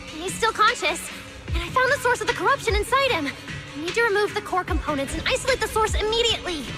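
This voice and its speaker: A woman acting an earnest, emotional young girl mechanic in a game, intense and expressive. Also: very high voice, fast talker. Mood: urgent. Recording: loud music behind.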